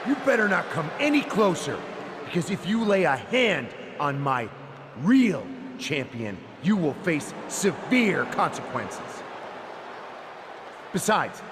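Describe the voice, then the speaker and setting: A man playing a smug, scheming wrestling boss in a video game, speaking pompously and theatrically with a sneer over an arena sound system. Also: exaggerated voice. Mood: contemptuous warning. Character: smug, scheming male wrestling boss making grand announcements. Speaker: wrestling show general manager. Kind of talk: wrestling promo speech, video game cutscene. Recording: booming arena reverb, loud background noise.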